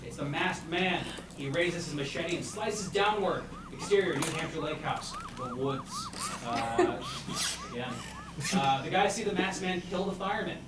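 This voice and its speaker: Male table-read narrator, lively, in a noisy echoey room. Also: sits high, normal speed, distant mic. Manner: often breaks into laughter. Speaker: narrator reading stage directions. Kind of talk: comedy script table read.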